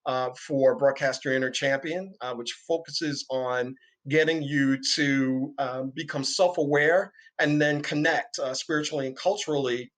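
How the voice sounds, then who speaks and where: A man's voice: mid-range voice, fairly even intonation, normal speed, fairly bright tone. A middle-aged man from New York City, a guest in a podcast interview, upbeat and dotting his speech with fillers.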